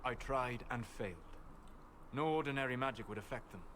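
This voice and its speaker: A man playing a calm, scholarly elven mage in a video game, speaking in a grave, measured, formal way. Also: medium pitch, slight background noise, lightly acted. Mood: grave, reflective. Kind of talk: video game dialogue acting.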